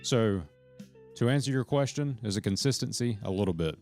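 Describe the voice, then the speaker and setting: Deep-voiced man rambling on a live stream. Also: moderate pace, a little mellow, soft background music. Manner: rambling, profane, jumps between points; talks to chat members directly.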